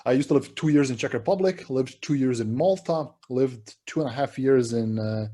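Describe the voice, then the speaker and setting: A man from Serbia talks over a video call in an easygoing, self-deprecating way, like a speaker who jokes about his own setup. His voice sits at an ordinary middle pitch. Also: normal speed. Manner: relaxed, chatty, self-deprecating. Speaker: conference speaker. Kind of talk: online conference talk.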